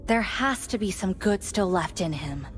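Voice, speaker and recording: An actress voicing a solemn, gentle mystic warrior, measured and earnest, in a dramatic game style. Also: female voice, medium pitch, lively intonation, lightly acted. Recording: long reverberant hall, faint ambient noise.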